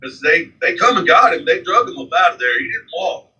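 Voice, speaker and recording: A man's voice: high-pitched, sing-song, a little fast, sharp tone, far from the mic, lo-fi sound. A man in his forties, a guest in a casual interview, rambling with lots of fillers. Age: 40s. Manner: rambling, frequent fillers like "man" and "you know".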